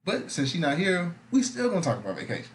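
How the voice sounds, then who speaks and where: A man's voice: a little high, huge pitch swings, fast, slight background noise. A young man hosting a podcast in casual, slangy, profane chat. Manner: laid-back, slangy, profane. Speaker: podcast host.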